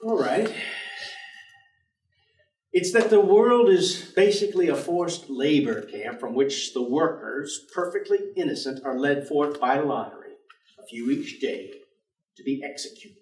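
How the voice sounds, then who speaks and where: A man's voice: on the high side, animated, unhurried, a little mellow. An actor playing a bleak, world-weary male professor in a staged dramatic reading, formal, articulate and fatalistic. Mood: bleak, resigned. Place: theatre stage. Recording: echoey theatre, distant microphone.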